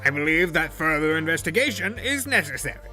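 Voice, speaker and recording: A man playing a genial, muddled judge in an audio drama, with a pompous, deliberate manner. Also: on the high side, sing-song, sharp tone, soft background music, exaggerated voice. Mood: pompous, curious.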